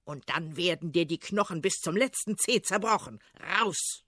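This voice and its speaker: A woman's voice: sits low, big pitch swings, takes it slowly, breathy edge, no echo, clean studio sound, pitched below the performer's own voice, heavily acted. A woman voicing a vain, blustering old male tsar with a pompous, threatening manner. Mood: furious.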